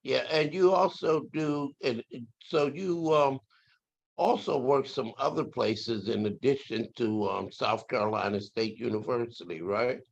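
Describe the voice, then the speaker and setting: A man hosting a talk show, with a gravelly, creaky voice. He asks his question haltingly, restarting phrases. The recording sounds dull and lo-fi. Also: mid-range voice. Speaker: show host. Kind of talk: interview question.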